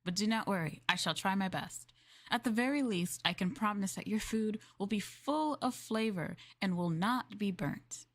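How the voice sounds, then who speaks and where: A young woman streamer in a maid roleplay, chatting in a polite, formal way, giggling and dropping into soft asides. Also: medium pitch, normal speed. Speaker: VTuber streamer.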